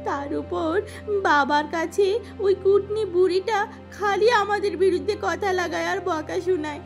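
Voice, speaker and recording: Cartoon younger sister: high-pitched girl, cheeky, lazy, whining, performed by a woman. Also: unhurried, soft background music.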